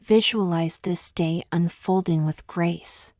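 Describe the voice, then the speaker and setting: A woman leading a scripted guided meditation in a soothing, gentle, measured way, with long pauses and soft, breathy phrase endings. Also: lowish voice, fairly even intonation, no room sound, studio quality.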